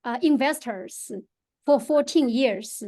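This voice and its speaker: A middle-aged woman with a Mandarin Chinese accent, a real estate agent speaking halting English full of fillers and restarts in an interview. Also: high-pitched, mellow. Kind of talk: interview guest, unscripted.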